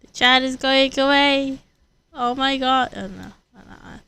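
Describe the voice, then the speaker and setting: A woman's voice: sits high, unhurried, sharp tone, clean tone, no echo. A woman livestreaming a video game, chatting casually and thinking aloud in rambling, repeated phrases. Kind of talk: casual livestream chat while gaming.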